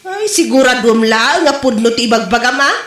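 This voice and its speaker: Woman acting high-voiced Ilocano scolding mother, roomy. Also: lively intonation, normal speed, a bit bright. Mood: concerned, chiding. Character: worried, scolding mother, exasperated wife. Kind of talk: comedy-drama radio acting in Ilocano. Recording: roomy, echoey voice recording.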